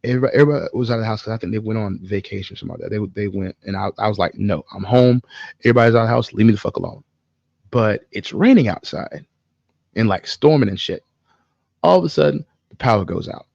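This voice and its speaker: Male co-host, gravelly voice, foul-mouthed casual storyteller, dry but slightly muffled sound. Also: fairly low pitch, quick pace, dry recording. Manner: animated, profane, slangy. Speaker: podcast co-host.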